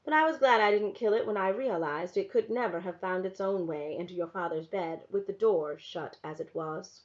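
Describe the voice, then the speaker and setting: Soft-spoken woman reading a novel aloud. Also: mid-range voice, moderate pace. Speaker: teacher reading to a class. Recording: dull lo-fi recording.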